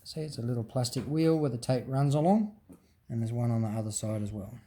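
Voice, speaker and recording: A man's voice: mid-range voice, lively intonation, brisk, mellow, a little hiss or hum. A man casually explaining a hands-on teardown, talking off the cuff with frequent ums and restarts. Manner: unscripted explaining with fillers and restarts. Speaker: hobbyist presenter. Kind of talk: casual show-and-tell teardown.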